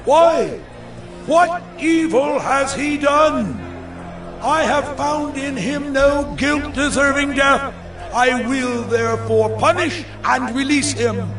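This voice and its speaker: An actor playing an exasperated, commanding Roman governor, declaiming to a crowd in a scripture drama. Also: male voice, very high voice, slow pace, recorded from a distance, music in the background, full character voice. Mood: exasperated, protesting. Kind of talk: dramatized scripture audio acting.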